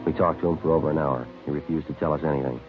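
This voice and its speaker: Deep, fast-talking deadpan American detective. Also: male voice. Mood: deadpan, factual. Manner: deadpan, matter-of-fact. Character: terse, businesslike male police detective and narrator. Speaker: detective narrating the case. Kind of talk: 1950s radio crime drama acting, clipped narration. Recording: dull vintage radio recording with music.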